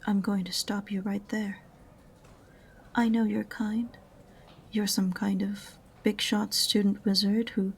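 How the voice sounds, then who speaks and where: A woman's voice: mid-range voice, gravelly, a little mellow, slightly rough, heavily acted. A woman playing an aloof, sarcastic witch librarian in a fantasy audio roleplay, prim and condescending in a dry way. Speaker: voice actor in amateur audio roleplay.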